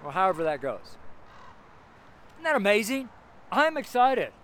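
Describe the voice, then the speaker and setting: High-voiced man in his seventies, street preaching. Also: very animated, measured pace, a bit bright. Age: 70s. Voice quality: strong, carrying voice. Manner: rambling, exclamatory, repeats phrases for emphasis. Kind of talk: informal extemporaneous street sermon. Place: outdoors on a city street among buildings. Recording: outdoor street noise, traffic ambience.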